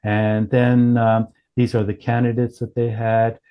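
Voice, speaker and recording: A man explaining a product demo as a company founder, in a halting, self-correcting way with many broken starts. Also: mid-range voice, flat delivery, clean tone, dull audio. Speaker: company founder and chief executive.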